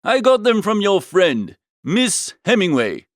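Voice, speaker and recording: A man playing a foppish, slangy young upper-class gentleman, earnest and indignant, in comic storybook dialogue. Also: high voice, big pitch swings, a little slow, no echo, clean studio sound. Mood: smug, emphatic.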